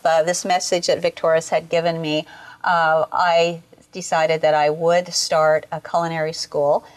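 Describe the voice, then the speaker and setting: A middle-aged woman, founder of a culinary school, speaking earnestly and reflectively in an interview, pausing often on fillers. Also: lowish voice.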